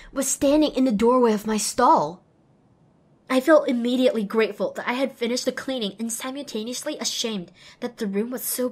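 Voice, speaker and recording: A woman's voice: fairly high pitch, slightly rough. A young woman voicing an earnest, self-deprecating young female pony apprentice, narrating an audio drama expressively and wryly. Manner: expressive, wry. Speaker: lead and first-person narrator.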